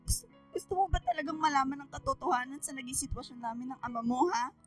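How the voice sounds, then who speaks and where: Woman acting high-voiced, reproachful Filipina mother. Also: fast talker, soft background music, natural voice. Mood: bitter, pleading. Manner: emotional, reproachful. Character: hurt, scolding Filipina mother of a student. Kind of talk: radio drama acting.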